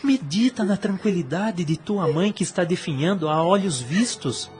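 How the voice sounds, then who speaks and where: A man's voice: high voice, lively intonation, normal speed, darkish tone, faint music behind. Lovesick Brazilian ex-priest, impassioned and pleading, acted by a man. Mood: insistent, pleading. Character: lovesick former priest, pleading, wounded and insistent. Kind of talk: audio drama acting, formal old-fashioned speech.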